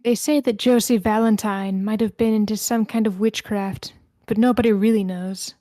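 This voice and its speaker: A woman's voice: mid-range voice, steady tone, normal speed, dark tone, no room sound, clean studio sound, close to an everyday voice. A woman playing a tour guide in her late twenties who tells eerie local legends at a screenplay table read. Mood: eerie storytelling.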